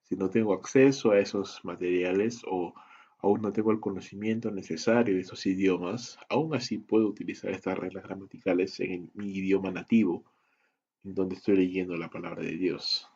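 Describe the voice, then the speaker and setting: A man teaching a Bible study lesson, in a measured, deliberate style that moves through lists and rhetorical questions. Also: low voice, fairly even intonation, darkish tone, breathy edge, dull audio. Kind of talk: slow, deliberate teaching lecture.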